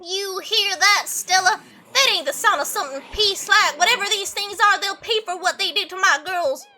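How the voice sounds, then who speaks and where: Woman as rural dog owner, volatile, protective, folksy, emphatic, very high sharp voice, game character. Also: moderate pace, full character voice. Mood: vengeful anger.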